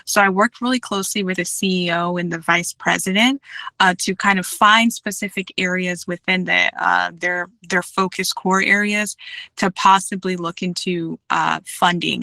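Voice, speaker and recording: A woman candidate talks through her past roles on a video call. Her voice is bright and clear, and she chooses each word with care, with many ums and restarts. The sound is close and dry. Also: lowish voice, no room sound. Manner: measured, many fillers, careful restarts. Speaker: job candidate. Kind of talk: formal job interview answers.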